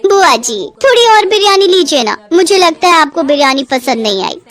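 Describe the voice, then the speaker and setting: An eager young daughter-in-law, played by a woman storyteller with a very high voice. She is chatty and obliging, bubbling along as in a domestic storybook drama. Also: sing-song, normal speed, a bit bright, heavily acted. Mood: coaxing.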